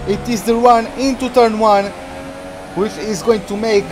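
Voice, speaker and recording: A man from Portugal, streaming a racing game and chatting excitedly through the action. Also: sits high, unhurried, noisy background. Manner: chatty, reacts excitedly to the race. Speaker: gaming streamer. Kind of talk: live game commentary.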